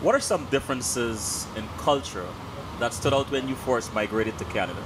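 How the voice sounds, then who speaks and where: A man hosts a formal interview in a measured, even voice that sits at a middle pitch. Behind him is a steady hum of air conditioning. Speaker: talk show host. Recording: noisy background hum.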